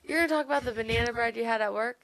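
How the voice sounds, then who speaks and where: A woman's voice: on the high side, rapid-fire, a bit bright, no echo. A woman co-hosting a podcast, chatting casually and informally about books. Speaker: podcast co-host. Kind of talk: casual book chat.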